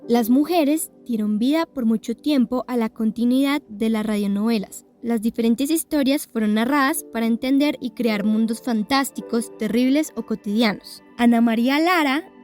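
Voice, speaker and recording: A woman's voice: a little high, moderate pace, hardly any pauses, a little mellow, faint music behind. A woman reading scripted narration as a documentary presenter.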